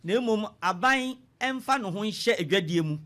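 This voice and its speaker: A man's voice: high-pitched, lively intonation, moderate pace, pushed and bright. A man with a Ghanaian accent explaining things step by step, in an emphatic lecturer's manner, as an interview guest on radio. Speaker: economics lecturer, studio guest.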